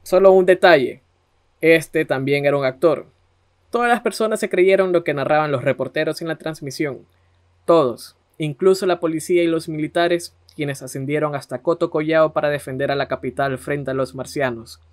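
A man's voice: a little high, moderate pace. A man narrating conversationally as a podcast host.

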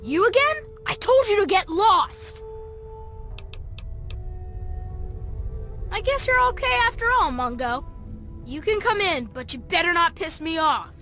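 A woman's voice: very high-pitched, lively intonation, moderate pace, pushed and bright, faint music behind, exaggerated voice. A woman playing a bossy, suspicious, streetwise young boy in a video game. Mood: grudging, threatening. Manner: defiant, streetwise.

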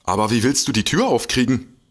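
An actor playing a nervous, fretful amateur detective in an audio drama, hesitant, flustered and muttering to himself. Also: male voice, mid-range voice, very animated, brisk. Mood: anxious. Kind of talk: German audio drama acting.

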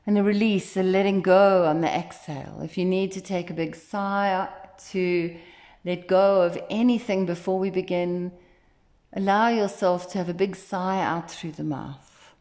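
A woman leading a guided meditation as instructor, speaking in a gentle, soothing, unhurried way. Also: fairly low pitch, steady tone. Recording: echoey, roomy sound.

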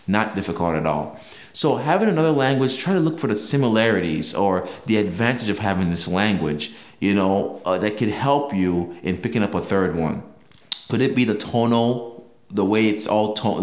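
A man's voice: fairly low pitch, roomy sound. A young man whose native language is Yoruba, hosting a casual language-learning video and explaining things with examples and frequent restarts. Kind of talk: casual talk to camera.

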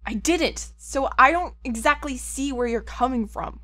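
Woman acting a skeptical young sidekick, curt, sarcastic, slightly high, dry sound, audio drama. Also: a little slow, pushed and bright, no room sound. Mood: exasperated. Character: exasperated, skeptical young friend of the hero.

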